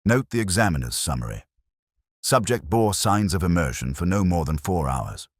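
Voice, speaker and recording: A keen, analytical Victorian detective, as a male narrator plays him, speaks in a very deep and animated voice. He is precise, inquisitive and coolly commanding, like a storybook sleuth giving orders to his companion. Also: moderate pace, no room sound, studio quality. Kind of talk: audiobook character dialogue.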